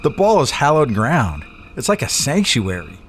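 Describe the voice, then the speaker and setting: A man's voice: mid-range voice, huge pitch swings, normal speed, a little hiss or hum. A man playing a cocky, slangy, dim-witted tough guy in a 1940s noir audio drama. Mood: cocky, eager. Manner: slangy, brash, casual.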